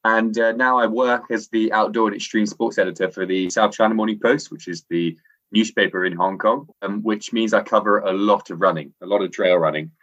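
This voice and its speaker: Scottish man sounding English, very low voice, lively chatty guest, slightly muffled call audio. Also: fairly quick. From Scotland. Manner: chatty, enthusiastic, frequent fillers. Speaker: interview guest, sports editor. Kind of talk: casual interview over internet call.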